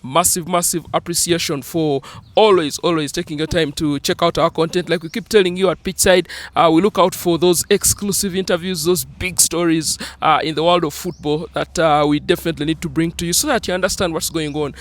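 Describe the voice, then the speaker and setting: A man's voice: fairly high pitch, steady tone, brisk. A young man with a Ugandan accent hosting an interview, upbeat and full of fillers, switching between English and Luganda. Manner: upbeat, many fillers like "uh" and "you know". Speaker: interview host.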